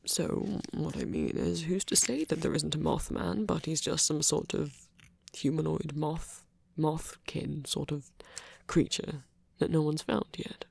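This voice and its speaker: A man performing an intimate ASMR roleplay in a husky, creaky voice, as a character chatting to a listener. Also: fairly high pitch, steady tone, slow, no room sound.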